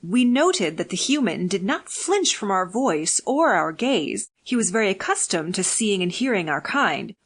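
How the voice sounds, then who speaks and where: A woman reads scripted fiction as an audiobook narrator. Her pitch sits in the middle range, and her delivery is measured and deliberate. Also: sing-song, breathy edge.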